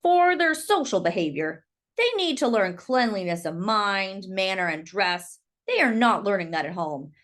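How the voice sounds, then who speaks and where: A woman's voice: mid-range voice, expressive, normal speed, fairly bright tone, voice pushed down, close to an everyday voice. Woman reading as a condescending male school official. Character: prejudiced male school official testifying in court. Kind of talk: storybook read-aloud character.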